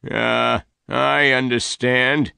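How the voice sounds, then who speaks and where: A man voicing a fat, gray-haired, angry male leader who talks loudly and demandingly. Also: medium pitch, level tone, extremely slow, creaky, smooth, dry recording, studio quality, pitched above the performer's own voice, heavily acted. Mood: sly. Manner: loud, demanding, questioning.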